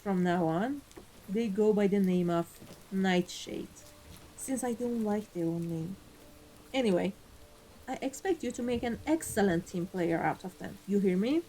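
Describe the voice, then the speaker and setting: A woman playing an amused, ruthless vampire mafia boss in a scripted ASMR roleplay, speaking in a deliberate, theatrical, condescending way. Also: medium pitch, lively intonation, mellow, a little hiss or hum, lightly acted, poor audio. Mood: pleased, commanding. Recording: voice over background music.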